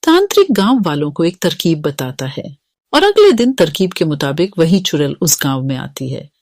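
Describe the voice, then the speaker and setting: A woman's voice: sits low, very animated, moderate pace. A woman narrating a scripted cartoon story as the storyteller.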